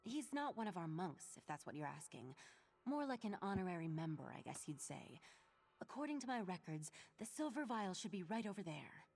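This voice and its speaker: An actress playing a matter-of-fact archivist of a mage order in a video game, conversational and dryly wry. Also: female voice, fairly low pitch, big pitch swings, a little fast, a little mellow, husky, full character voice. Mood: wry, businesslike. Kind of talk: video game character acting.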